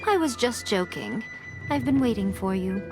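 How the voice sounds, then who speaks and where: A woman's voice: medium pitch, big pitch swings, moderate pace, natural voice. A woman playing a sly, centuries-old fox envoy in a video game, with playful, formal phrasing. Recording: music behind the voice.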